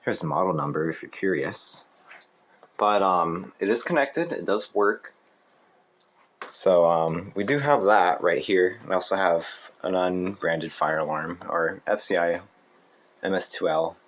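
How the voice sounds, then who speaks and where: A man casually presenting equipment as a hobbyist, in an informal, halting manner, correcting himself as he goes. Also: sits low, a bit bright. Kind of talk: casual hands-on demonstration. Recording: clear background noise.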